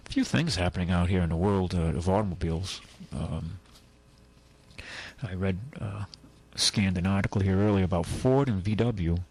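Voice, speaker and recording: A man with a low, husky voice hosts a radio show from a studio mic. He talks in a loose, rambling way, full of asides and restarts. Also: darkish tone. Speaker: radio host. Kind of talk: casual talk radio monologue.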